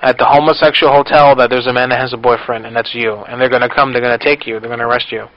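Male prank caller, deadpan and persistent, casual delivery, dull low-fidelity phone sound. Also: racing. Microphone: telephone line. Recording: dull lo-fi phone call recording.

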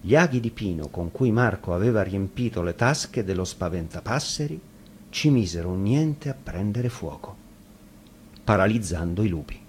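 Low-voiced man reading a fairy tale. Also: expressive, moderate pace. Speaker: storyteller. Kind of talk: dramatic scripted fairy-tale narration. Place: radio studio. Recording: faint background noise.

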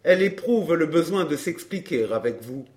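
A man playing a courteous, ironic gentleman in a dramatised audiobook, speaking politely and with phlegmatic calm. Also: a little high, moderate pace, natural voice. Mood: calm irony. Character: Baron de Fresnay, unflappable, courteous, ironic gentleman.

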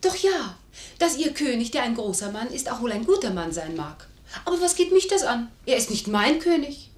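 A proud young lady of rank with a fairly high, very animated voice. She speaks in formal, pointed theatrical phrases, cool and spirited, in the manner of 1950s radio drama. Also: normal speed, reverberant. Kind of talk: 1950s German radio play acting, stage diction.

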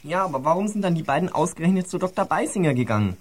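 Quick, inquisitive young sleuth. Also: female voice, lowish voice, expressive, a little mellow. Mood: puzzled. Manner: insistent, questioning. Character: sharp, inquisitive young person questioning clues. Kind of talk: radio play acting.

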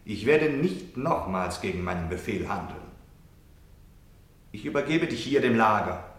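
An actor playing a cold, scornful Nazi officer who harangues and threatens a prisoner in a radio play. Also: male voice, lowish voice, animated, a little slow. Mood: menacing. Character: cold, menacing male Nazi officer taunting his prisoner. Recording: echoey, reverberant room.

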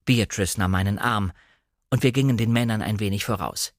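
A man narrating a literary audiobook in a measured, expressive way. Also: on the low side, dry recording, clean studio sound.

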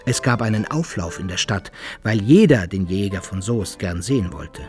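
A man's voice: on the low side, animated, normal speed, darkish tone, faint music behind, lightly acted. A man playing a composed old-time soldier who recounts his own adventures in formal literary radio-drama narration. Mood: matter-of-fact.

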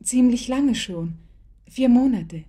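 Young woman in love for a radio play, highish voice, earnest, polite but defiant. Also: animated, takes it slowly, dark tone, breathy edge, full character voice. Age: young adult. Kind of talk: German radio play acting.

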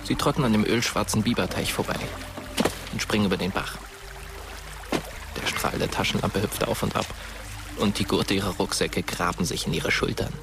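An actor playing a sombre, watchful storyteller, narrating a dark radio play in a measured, hushed way. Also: male voice, fairly low pitch, over music, lightly acted. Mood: ominous.

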